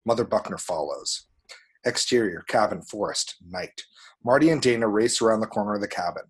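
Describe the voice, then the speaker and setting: Low, husky man narrating a screenplay. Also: expressive, brisk. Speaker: reader of action descriptions. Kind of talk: scripted screenplay narration with spoken sound effects.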